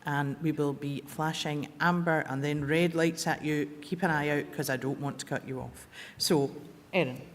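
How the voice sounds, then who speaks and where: A woman with a Scottish accent chairing a political conference, introducing speakers in a brisk, friendly way. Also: deep voice, steady tone. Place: large conference hall. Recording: reverberant hall, faint crowd.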